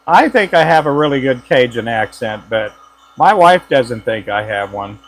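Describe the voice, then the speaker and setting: A man's voice: medium pitch, lively intonation, normal speed, rough recording. A man from the United States chatting casually and joking with viewers while he works, laughing often. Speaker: lure maker and channel host. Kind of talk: casual live chat while working.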